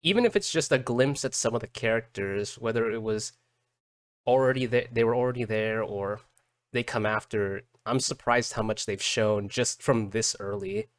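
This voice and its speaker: A man doing casual live reaction commentary as a streamer, halting and repeating words as he thinks aloud. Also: lowish voice, no echo, studio quality. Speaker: streamer reacting to game videos.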